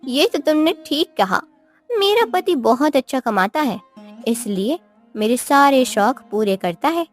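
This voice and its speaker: A woman's voice: sits high, very animated, unhurried, faint music behind, exaggerated voice. A woman voicing a boastful, patronising village neighbour in a cartoon story. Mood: smug, boastful. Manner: gloating, patronising.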